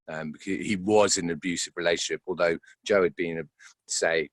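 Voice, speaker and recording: A man's voice: low voice, dry recording. A man being interviewed as an actor, speaking reflectively and hesitantly, searching for words and repeating himself.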